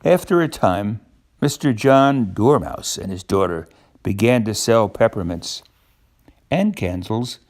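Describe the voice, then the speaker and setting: An older man with a gravelly voice reads a children's storybook aloud in an expressive, unhurried way. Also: fairly low pitch. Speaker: storybook narrator.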